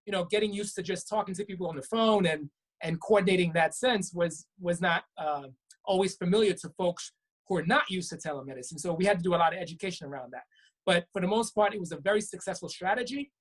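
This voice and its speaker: Woman physician guest, husky and a little low, earnest explainer who restarts often. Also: fast. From Brooklyn, New York. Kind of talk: conversational interview answers. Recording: web talk show.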